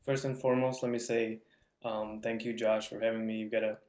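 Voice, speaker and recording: A man's voice: lowish voice, little pitch movement, brisk, a little mellow. A young man from Hungary with a Hungarian accent, chatting casually as a podcast guest and often repeating words. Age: young adult. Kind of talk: casual interview chat. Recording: roomy, echoing voice.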